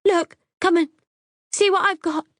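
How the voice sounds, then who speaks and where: A woman's voice: steady tone, a little slow, no room sound, clean studio sound. A woman reading a desperate sobbing young woman. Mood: desperate pleading. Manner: shrill, high, sobbing pleas.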